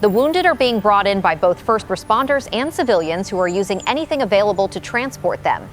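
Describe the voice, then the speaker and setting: An actress plays a female field reporter at a disaster scene, over faint ambient noise. Her middle-pitched voice is formal and urgent, shaken yet professional, in radio-drama news style. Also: lively intonation, a little fast, natural voice. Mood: grave, urgent. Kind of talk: scripted live news report.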